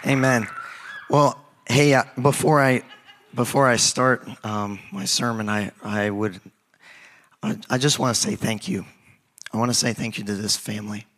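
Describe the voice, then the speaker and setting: A young man, a youth pastor, preaching a sermon in a raspy, hoarse voice from a recent cold, casual and halting with many restarts. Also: lowish voice, fairly even intonation, fairly bright tone.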